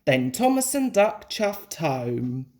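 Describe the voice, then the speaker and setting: Slow, animated woman reading a children's story. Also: low voice. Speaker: storybook reader. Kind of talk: read-aloud children's story narration.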